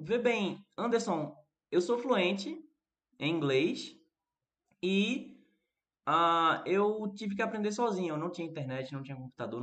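Higher-voiced northeastern Brazilian man teaching. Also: a bit bright. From Recife, northeastern Brazil. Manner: chatty, questioning, addressing viewers by name. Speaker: English teacher hosting a live online class. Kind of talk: casual interactive lesson, reading and answering chat messages.

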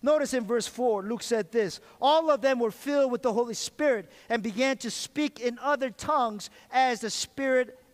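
A middle-aged man preaching an earnest sermon as pastor, often repeating and restarting his phrases and calling for amens. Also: high-pitched. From Korean heritage. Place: large church sanctuary. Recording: long reverb of a church hall.

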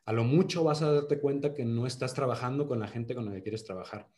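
A man's voice: medium pitch, fairly quick, warm tone. A man in his thirties with a Monterrey accent, chatting as a guest on a podcast, full of restarts and fillers. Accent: northern Mexican (Monterrey). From Monterrey, Mexico. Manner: many restarts and fillers, earnest then joking. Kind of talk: casual interview chat.

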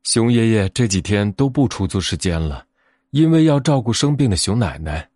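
A man's voice: fairly low pitch, animated, normal speed, a little mellow, no room sound, clean studio sound. A man narrating a scripted children's fairy tale as a bedtime storyteller.

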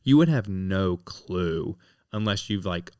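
Low-voiced guy chatting on a podcast, lots of likes and restarts, dry sound. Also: animated, takes it slowly, mellow, smooth, dry recording. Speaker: podcast co-host. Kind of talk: casual chat.

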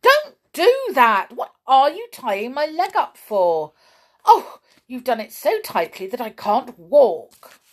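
A woman's voice: high voice, sing-song, slow pace, voice pushed up, exaggerated voice. A woman voicing an indignant, bewildered male pixie in a storybook, spluttering and protesting. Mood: angry, baffled.

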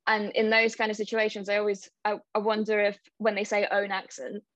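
Eastern european actor, woman, hesitant question, flat tone, dull dry call audio. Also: medium pitch, fairly quick, dry recording. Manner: tentative, apologetic. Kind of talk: workshop question. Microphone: video call.

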